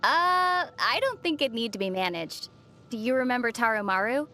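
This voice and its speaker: Gentle, high-voiced young female traveler. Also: animated, moderate pace. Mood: gently teasing. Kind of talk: video game dialogue acting. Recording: faint music under the voice. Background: faint background music.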